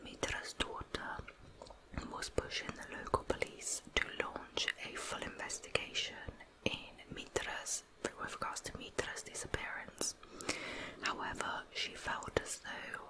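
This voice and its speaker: A woman narrating a true crime case as ASMR in a breathy, unvoiced whisper, with soft, careful delivery. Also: measured pace, rough recording. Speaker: ASMR true crime storyteller, channel host.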